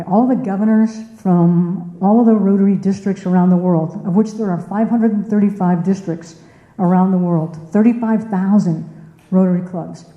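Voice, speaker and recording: A woman giving an informal speech to a club in a meeting room, conversational, putting questions to listeners and repeating words for emphasis, with echoey, muffled sound. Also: mid-range voice. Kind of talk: informal presentation to a club audience.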